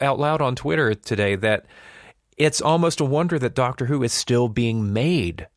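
Guy hosting a podcast, chatty but measured, kinda musing, really dry close sound. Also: mid-range voice, fairly bright tone, no room sound. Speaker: podcast host.

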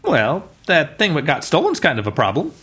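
A man playing a gruff, impatient working-class caterer with colloquial grammar in an old-time radio parody. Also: a little high, wildly swooping pitch, moderate pace, a bit bright, exaggerated voice. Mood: grumbling, chatty. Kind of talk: parody of 1940s radio drama.

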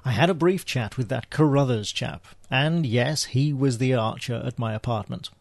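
A man's voice: medium pitch, normal speed, creaky, no room sound. A man playing a dry, unflappable British spy in radio theater, with a wry, understated manner. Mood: dryly conversational. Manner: wry, understated, old-fashioned turns of phrase.

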